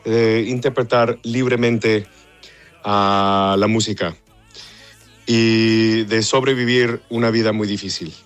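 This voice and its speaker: A man from Texas, half Mexican, an opera singer answering interview questions in Spanish with pauses, repeated words and stray English. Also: fairly low pitch, steady tone, clear, resonant tone, faint music behind. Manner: hesitant, searching for Spanish words, mixes in English.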